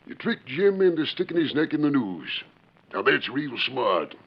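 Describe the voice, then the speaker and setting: An American actor playing a crafty, gruff middle-aged rancher father with a forceful folksy way of talking in a 1940s radio western. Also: mid-range voice, sing-song, moderate pace, exaggerated voice. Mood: sly, goading. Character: gruff, crafty old rancher father testing his son. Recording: dull, band-limited 1940s radio recording.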